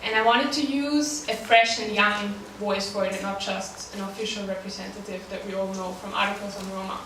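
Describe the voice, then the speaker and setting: A woman's voice: mid-range voice, fairly even intonation, a little fast, noisy background. A young woman with an Austrian accent, speaking German as her first language, gives a short prepared talk as a staff writer, earnest and dotted with ums. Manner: earnest with light laughs and many ums. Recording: echoey room, voice from a distance.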